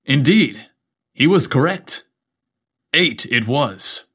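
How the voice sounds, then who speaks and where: A man's voice: mid-range voice, lively intonation, slow, fairly bright tone, husky. A man narrating a scripted story as a podcast host, with a playful, cheeky manner.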